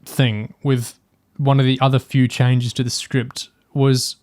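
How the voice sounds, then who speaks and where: A man's voice: mid-range voice, level tone, a little slow, darkish tone, no echo. Dry-sounding man in casual discussion. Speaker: podcast host.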